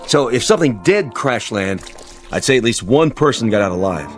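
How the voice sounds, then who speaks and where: Male patrolman played by an actor, grumbling yet curious, medium pitch, animated range, natural radio-play delivery. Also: moderate pace, faint music behind, lightly acted. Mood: alert, excited. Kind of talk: naturalistic radio drama acting.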